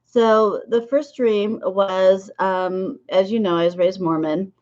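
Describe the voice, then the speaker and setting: A woman in her fifties, hoarse and losing her voice, talking haltingly about her dream visions as a psychic in a casual monologue to camera. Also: mid-range voice, fairly even intonation, darkish tone, dull audio. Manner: halting, with many filler pauses.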